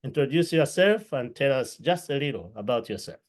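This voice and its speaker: A man from Uganda hosting an interview podcast from a room at home, greeting his audience in an effusive, drawn-out way. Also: medium pitch, expressive, a little mellow. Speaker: podcast host. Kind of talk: welcoming interview host. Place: home room with books and a clay pot.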